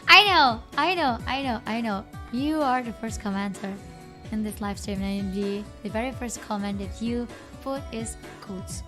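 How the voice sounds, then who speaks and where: A woman's voice: a little high, measured pace. A woman with an Indonesian accent commentates a game live, chatting playfully and informally with viewers and often repeating her phrases. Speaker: esports livestream caster. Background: music.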